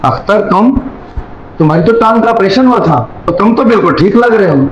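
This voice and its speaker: A kindly, commanding rich employer played by a male actor in a homemade moral drama. The voice is somewhat high and brisk, with a faint noisy ambience behind it. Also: expressive, lo-fi sound. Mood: suspicious, firm. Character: generous wealthy employer, trusting but firm. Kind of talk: amateur moral-story video skit acting.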